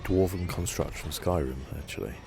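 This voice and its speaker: A man giving relaxed ASMR game commentary as a channel host, with soft, hesitant, musing asides. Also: low voice, fairly quick, creaky.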